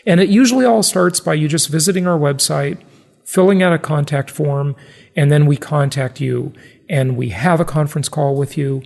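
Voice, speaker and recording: A man talks casually as a podcast host, with a gravelly voice set in a long reverb. He is chatty and pokes fun at himself. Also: a little high, normal speed, darkish tone. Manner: chatty, self-deprecating.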